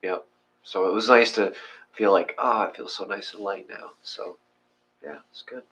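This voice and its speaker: A man's voice: on the low side, steady tone, normal speed, a bit bright, rough recording. A man chatting casually as co-host of a meditation session, rambling and joking at his own expense. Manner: joking, rambling, self-deprecating. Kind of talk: casual chat.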